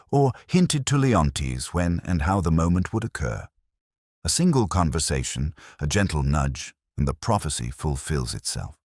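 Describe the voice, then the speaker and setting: A man voicing a cool, brooding detective in an audiobook, musing in measured phrases. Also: extremely low pitch, a little mellow, no room sound, studio quality, exaggerated voice. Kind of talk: audiobook detective story dialogue.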